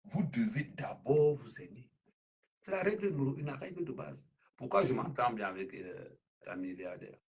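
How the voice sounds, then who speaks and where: A man chatting casually on a live stream, speaking in a flowery, flattering way. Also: normal speed, breathy edge. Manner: flowery, sweet-talking, bursts of high laughter.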